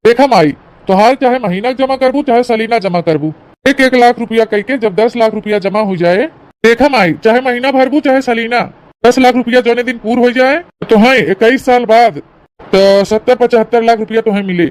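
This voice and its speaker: A high-voiced cartoon insurance agent , a man acted by a woman, speaks with an Awadhi accent. He explains patiently and persuasively, in the homely, colloquial patter of a village salesman. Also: normal speed, darkish tone, close to an everyday voice. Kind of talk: Awadhi family comedy cartoon.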